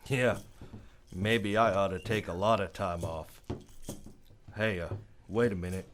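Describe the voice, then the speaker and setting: A man acts a stern lawman in an old-style western radio drama. His American voice is on the low side. He speaks tersely and dryly, with weary authority. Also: measured pace, a bit bright, slight background noise. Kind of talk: old-time western radio play acting.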